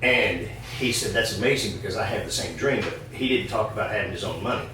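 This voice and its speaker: A steady man with a low voice and a dry sense of humor, introducing himself. He sounds far from the mic in a very echoey room. Faint noise sits in the background. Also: fast talker. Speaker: guest, former Marine, veterans group officer. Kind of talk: casual personal introduction.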